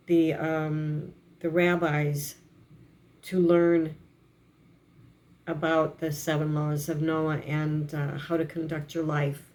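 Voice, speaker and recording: Lady w a froggy morning voice, kinda low, reads and explains scripture real slow, lots of ums. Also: warm tone. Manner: hesitant, many fillers. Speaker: religious teacher. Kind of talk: reading and explaining a religious text.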